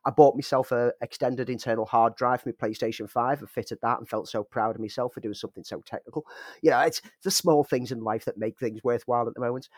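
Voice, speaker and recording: A man's voice: medium pitch, steady tone, quick pace, dry recording. A man co-hosting a podcast, rambling in a self-mocking way and chuckling, with a lingering cough. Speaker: podcast co-host. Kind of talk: casual podcast chat.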